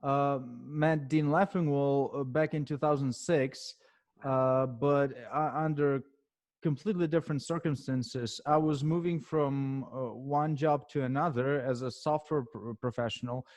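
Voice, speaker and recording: Ukrainian-accented man, halting, dry close sound. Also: medium pitch, steady tone, a little mellow, dry recording. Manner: halting, many fillers and restarts. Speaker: guest author and consultant. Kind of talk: podcast interview answers.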